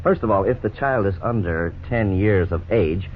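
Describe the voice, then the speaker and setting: A middle-aged man playing a lawyer who narrates and explains the case in a measured, formal way, in 1950s radio drama style. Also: on the low side, natural voice. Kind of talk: scripted narration. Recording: scratchy 1950s radio broadcast, tinny, faint hiss.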